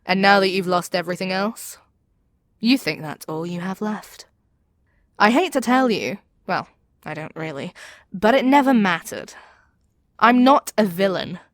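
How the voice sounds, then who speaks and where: A woman's voice: mid-range voice, dry recording, studio quality, close to an everyday voice. A woman playing a proud, seductive demon queen in an ASMR audio roleplay, speaking in an imperious, persuasive, theatrical way. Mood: lofty, defiant. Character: proud, seductive demon queen brainwashing her captive hero.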